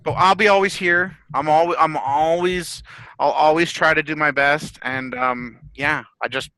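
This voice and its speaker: A man's voice: fairly high pitch, fairly even intonation, normal speed, bright, forceful tone, clean tone, no room sound. A man on a group video call who talks intensely and with firm opinions, stumbling over restarts.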